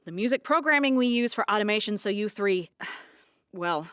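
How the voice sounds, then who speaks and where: An actress playing a practical woman bookkeeper in an audio drama, explaining things frankly with gentle sarcasm. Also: mid-range voice, animated, moderate pace, clear, resonant tone, no room sound, clean studio sound, close to an everyday voice. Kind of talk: naturalistic audio drama dialogue.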